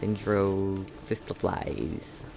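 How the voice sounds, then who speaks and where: A man's voice: low-pitched, extremely slow, creaky, music in the background. A man giving casual game stream commentary in a playful, silly, muttering way.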